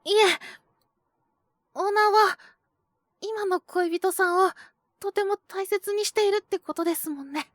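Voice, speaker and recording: A woman's voice: very high voice, measured pace, long pauses, fairly bright tone, no room sound, clean studio sound. A woman voicing an earnest, naive young man who speaks politely and hesitantly, pleading with resolve, in an audio drama. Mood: polite, grateful. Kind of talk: Japanese situational audio drama acting.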